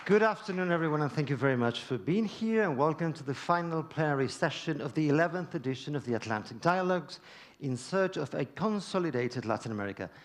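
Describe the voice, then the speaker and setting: A man's voice: fairly high pitch, lively intonation. Man moderating a conference panel. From Argentina. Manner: measured, deliberate with pauses. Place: conference stage.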